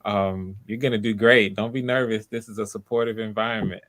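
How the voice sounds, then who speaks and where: Male host and coach, fairly deep voice, easygoing talk, supportive and reassuring to performers. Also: steady tone, brisk, fairly bright tone. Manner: encouraging, reassuring. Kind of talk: casual spoken coaching. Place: live online video call.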